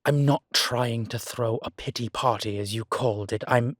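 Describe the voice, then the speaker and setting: A man playing a guilt-ridden, centuries-old vampire in an audio drama, speaking gravely and formally with weary, deliberate pauses. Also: medium pitch, fairly bright tone, no room sound, clean studio sound, close to an everyday voice. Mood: defensive, frustrated. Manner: slow, grave, deliberate phrasing with long pauses. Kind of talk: dramatic audio roleplay acting.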